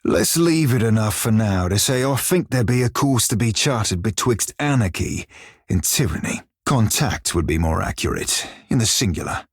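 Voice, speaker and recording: A man voicing a sly, foul-mouthed pirate shiphunter in a video game, husky, with a pirate-style dialect and salty seafaring slang. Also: lowish voice, animated, moderate pace, no room sound, studio quality. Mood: wry, easygoing. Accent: pirate-style English dialect. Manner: salty seafaring slang, colourful cursing, wry. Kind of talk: video game character dialogue.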